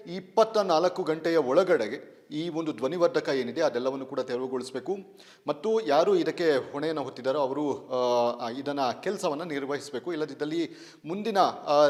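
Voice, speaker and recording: A man's voice: medium pitch, a little mellow, long reverb tail. A man reporting and interviewing for television news, with hesitant, wordy phrasing full of filler sounds.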